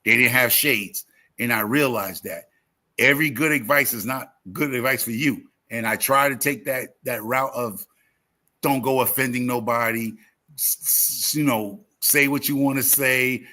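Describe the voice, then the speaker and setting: A man's voice: medium pitch, fairly even intonation, moderate pace, bright, forceful tone. A talkative man in his fifties chatting casually as a livestream host, emphatic and repeating phrases as he tells motivational stories. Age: 50s. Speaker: YouTube gardening creator and live host.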